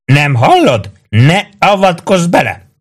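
A man voicing a small, defiant young soldier in an audiobook, shouting coarse taunts. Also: mid-range voice, big pitch swings, slightly rough, voice pushed up. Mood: frantic shouting.

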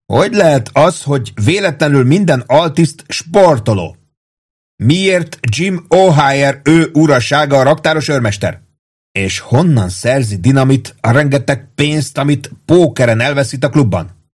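Man as angry army sergeant, vain, blustering, raised husky voice, thundering rants, audiobook drama. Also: mid-range voice, voice pushed up, natural voice. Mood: furious ranting. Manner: thundering, ranting tirades.

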